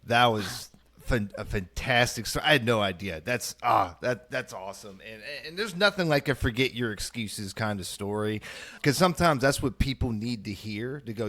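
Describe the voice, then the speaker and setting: A man's voice: medium pitch, expressive, normal speed, a bit bright. Enthusiastic male podcast host. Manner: upbeat and enthusiastic. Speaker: podcast host, publishing CEO. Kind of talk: casual interview hosting.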